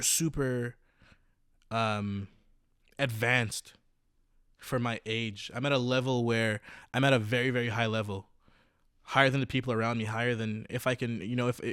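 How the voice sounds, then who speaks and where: Halting young man telling his story. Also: medium pitch, clear, resonant tone, no room sound, clean studio sound. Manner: halting, many restarts and ums, slangy. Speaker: podcast host. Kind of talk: casual storytelling monologue.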